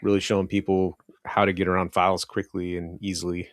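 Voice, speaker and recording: A man's voice: low voice, level tone, a little fast, no room sound. A man in his late forties co-hosting a podcast, chatting casually and answering with short, dry quips. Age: 40s. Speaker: podcast co-host. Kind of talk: casual chat.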